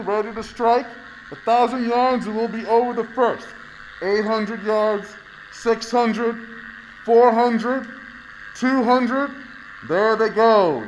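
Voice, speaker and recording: Man acting a high-voiced army bomber pilot. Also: a little hiss or hum, poor audio. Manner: clipped military radio report. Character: resolute male army bomber pilot reporting from his cockpit. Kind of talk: 1930s radio play acting.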